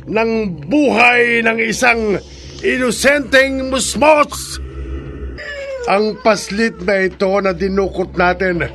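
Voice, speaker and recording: An actor playing a zealous male cult leader who leads a dark ceremony, speaking in a solemn preaching manner, in a horror radio drama. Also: high-pitched, a little hiss or hum, full character voice.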